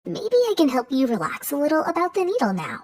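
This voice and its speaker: A woman's voice: high voice, wildly swooping pitch, quick pace, a little mellow. A woman voicing a tiny, cheerful magical girl with a sweet, small voice who talks frankly and kindly. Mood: reassuring. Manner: chirpy and reassuring.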